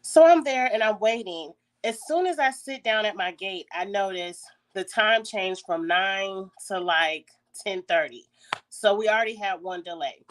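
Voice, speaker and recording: Woman, raspy congested voice, clears her throat often, chatty storytelling, phone recording. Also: medium pitch, a little slow. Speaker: YouTube host. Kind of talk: casual story time.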